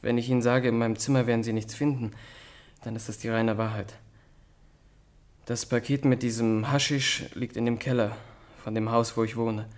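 Actor as a meek, halting young student. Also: male voice, medium pitch, little pitch movement, close to an everyday voice. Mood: pleading. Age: young adult. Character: timid, contrite young male student suspect. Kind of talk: German radio drama acting.

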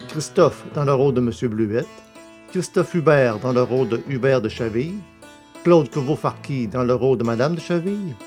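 A man's voice: mid-range voice, expressive, normal speed, a little mellow. A man reading scripted credits as the announcer of an audio drama. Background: plucked guitar music.

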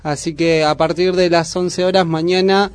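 High-pitched male voice, Buenos Aires accent, casual radio announcing, light music behind. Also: little pitch movement, normal speed, smooth, no echo. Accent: Argentine, Buenos Aires. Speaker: radio co-host.

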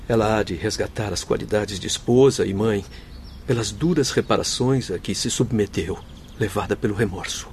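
Solemn, low-voiced noble doctor played by a man. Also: normal speed, a little mellow, slight background noise, close to an everyday voice. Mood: yearning, devoted. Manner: solemn, formal, heartfelt declamation. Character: noble grieving Spanish doctor spirit, devoted forgiving husband. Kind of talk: radio soap opera acting.

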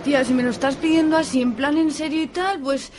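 A woman's voice: high-pitched, steady tone, brisk, noisy background, lightly acted. A woman playing a wry, teasing young friend.

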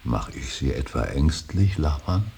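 An actor playing an older, grieving father in a radio drama, brooding and solemn, with halting, weighty declamation that often sinks into breathy whispers. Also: a bass voice, sing-song, warm tone, exaggerated voice. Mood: hushed, musing. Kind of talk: German radio drama acting, solemn monologue. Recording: hissy, faintly noisy radio recording.

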